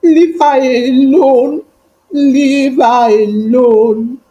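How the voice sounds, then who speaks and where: A woman storyteller plays a tearful seven-year-old boy in a folktale. The high voice pleads in very slow, drawn-out phrases, distraught and obsessive. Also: steady tone, mellow, lo-fi sound. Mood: tearful, pleading.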